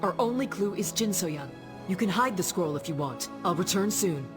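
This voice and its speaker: An actress playing a warrior's earnest, caring female companion in a video game, gentle, serious and measured. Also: lowish voice, expressive, loud music behind the voice, lightly acted. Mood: concerned, earnest.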